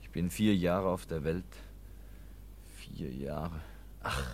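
A man's voice: deep voice, takes it slowly, a little mellow, a little hiss or hum. A male actor playing a melancholy, fearful young foundling in a radio drama, with a naive, childlike, plaintive way of talking. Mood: sad, bitter.

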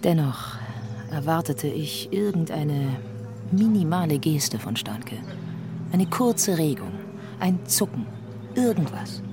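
An actress playing a disillusioned woman narrating her memories in a radio drama, dry, measured and wry. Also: sits low, sing-song, background noise, exaggerated voice. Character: disillusioned woman recalling a failed relationship. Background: music.

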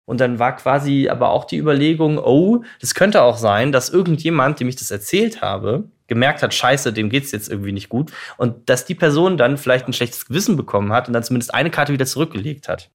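A man's voice: mid-range voice, expressive, brisk, hardly any pauses. A man in a casual conversation telling his own story, sounding nervous and self-conscious. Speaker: guest telling a story from school days.

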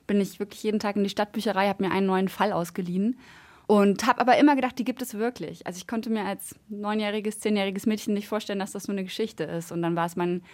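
A woman's voice: mid-range voice, fairly even intonation, fast. A woman giving a casual interview as a fan, enthusiastic and effusive. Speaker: interviewed fan and slam poet.

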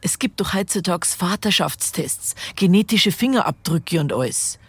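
A worried Bavarian confidante in a radio drama. Her lowish, husky voice moves up and down expressively as she urges caution. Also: lively intonation, moderate pace, no room sound. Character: anxious, cautioning woman confidante.

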